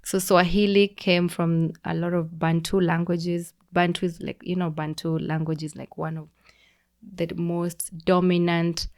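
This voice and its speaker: A young woman with a Kenyan accent, a podcast guest explaining things in a relaxed, teacherly way with frequent restarts. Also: lowish voice, measured pace. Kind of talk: casual conversational explaining.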